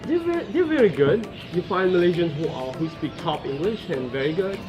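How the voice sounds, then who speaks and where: A man's voice: a little high, expressive, brisk, dull audio. Somali-born man, confident interviewee, music bed. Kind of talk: unscripted interview answers.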